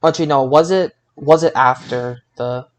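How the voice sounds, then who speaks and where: A man co-hosting a casual wrestling review podcast in a halting way, often repeating his words. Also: medium pitch, a little hiss or hum. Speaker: podcast co-host.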